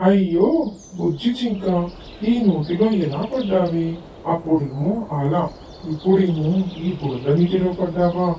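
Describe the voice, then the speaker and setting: Caring cartoon monkey, voiced by a man: a bit high, mellow and fussing, echoey and distant. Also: measured pace, slight background noise. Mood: dismayed. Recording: distant roomy echo.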